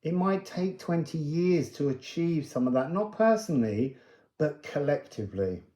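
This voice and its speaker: Mellow-voiced man musing, in a roomy space. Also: a little high, expressive, moderate pace. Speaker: card reader and spiritual guide. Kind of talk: unscripted spiritual card reading monologue.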